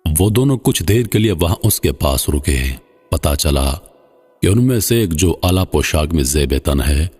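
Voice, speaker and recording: A man narrating a scripted moral folk tale in formal literary style, with a measured, solemn delivery. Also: extremely low pitch, expressive, darkish tone, breathy edge, faint music behind. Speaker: storyteller, narrator. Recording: long hall-like reverb, slightly muffled voice.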